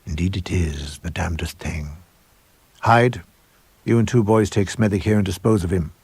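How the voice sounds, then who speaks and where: A man's voice: deep voice, normal speed, vocal fry, a little mellow, slightly rough, no room sound, exaggerated voice. A man voicing a proud, sinister older school headmaster in a supernatural tale, pompous and theatrical in his delivery. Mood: brisk, callous. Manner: theatrical, declamatory, grandiose.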